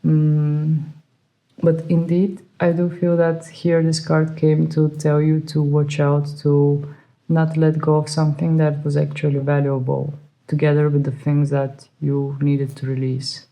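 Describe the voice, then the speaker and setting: A tarot reader who is not a native English speaker, hesitantly searching for words with many fillers and soft laughs. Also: mid-range voice, completely flat delivery, a little slow, low-quality recording. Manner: soft laughs while talking. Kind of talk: pick-a-card tarot reading.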